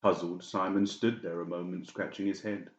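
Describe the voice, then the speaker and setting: A man's voice: deep voice, little pitch movement, moderate pace. A man reading scripted fiction as an audiobook narrator. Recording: dull, echoey room recording.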